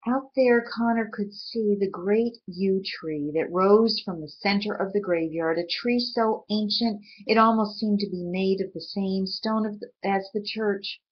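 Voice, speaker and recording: A woman reading a storybook aloud in an expressive, deliberate way, with dramatic pauses. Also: mid-range voice, husky. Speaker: reader. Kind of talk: storybook read aloud, expressive scripted narration. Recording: dull lo-fi recording.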